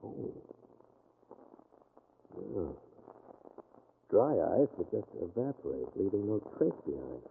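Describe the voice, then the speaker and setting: Very low-voiced, commanding radio sleuth. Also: male voice, very animated, creaky, exaggerated voice. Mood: intent, reasoning. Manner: brisk, bossy, clipped orders. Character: shrewd, commanding male amateur sleuth, quick-thinking. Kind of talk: 1940s radio mystery acting. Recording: dull, noisy 1940s radio recording.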